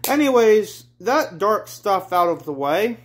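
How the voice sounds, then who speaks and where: A man with a slightly high-pitched voice hosts an informal read-along. He speaks very slowly and rambles in an offhand, blunt manner as he moves between reading and commentary. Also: big pitch swings, a little hiss or hum. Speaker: host reading his own manga aloud.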